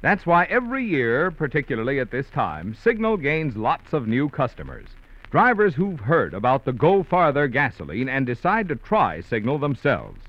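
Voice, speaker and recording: Animated male old-radio announcer. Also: mid-range voice, moderate pace. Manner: polished salesman delivery. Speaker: commercial announcer. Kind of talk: scripted 1940s radio advertisement. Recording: muffled old radio recording.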